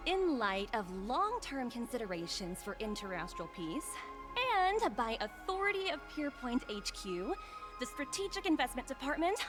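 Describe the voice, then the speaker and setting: A poised young executive with a high, animated voice. She sounds official and businesslike, delivering formal video game dialogue. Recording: music mixed under the voice.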